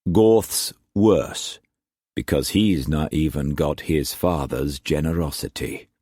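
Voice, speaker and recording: A man voicing a shrewd, fatherly older Scottish trader who speaks in a measured, gruff way. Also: very deep voice, expressive, a little mellow, no echo, clean studio sound, heavily acted. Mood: stern. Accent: Scottish put-on.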